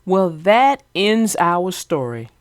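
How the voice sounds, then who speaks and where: Slow, expressive woman narrator. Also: on the low side, dry recording, studio quality. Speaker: storybook narrator. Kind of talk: reading a children's book aloud, then casual discussion.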